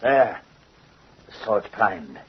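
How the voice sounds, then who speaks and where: An actor playing a vengeful, gloating doll-maker in old-time radio horror, with a theatrical, menacing and mocking manner. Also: male voice, fairly low pitch, big pitch swings, very slow, a little hiss or hum, full character voice. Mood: menacing, exultant. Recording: husky, muffled old radio recording.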